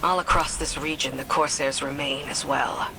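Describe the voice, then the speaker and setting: Low-voiced stern warrior woman played by an actress. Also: steady tone, moderate pace, background noise, close to an everyday voice. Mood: grim, resentful. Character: stern, bitter woman warrior serving a queen. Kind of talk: video game dramatic dialogue.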